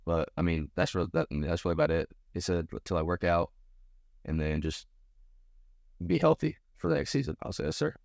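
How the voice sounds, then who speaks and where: A man's voice: low voice, expressive, brisk, gravelly, darkish tone, dry recording, studio quality. An American man of about twenty giving casual, slangy interview answers as a college baseball player. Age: around 20. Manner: informal, slangy.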